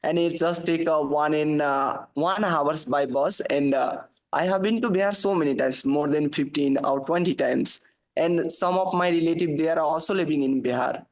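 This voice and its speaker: Halting Nepali-accented man, fairly high voice. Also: low-quality recording. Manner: halting, many fillers and restarts. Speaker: guest, English learner. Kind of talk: casual conversation. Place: working abroad.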